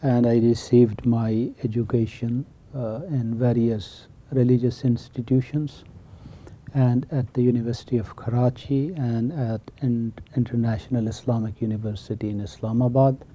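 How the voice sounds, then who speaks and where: A man's voice: mid-range voice, level tone, normal speed, mellow. A middle-aged man from Pakistan with a Pakistani accent, earnestly explaining ideas in an interview as a college teacher and chaplain. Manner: earnest, explanatory, repeats key phrases. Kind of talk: interview talk.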